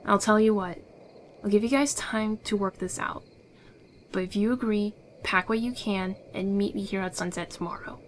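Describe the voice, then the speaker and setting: A woman's voice: medium pitch, normal speed, slight background noise, natural voice. Woman playing a confident, secretive rebel guide. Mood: understanding, firm. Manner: assured, persuasive, theatrical delivery. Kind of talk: ASMR audio roleplay acting.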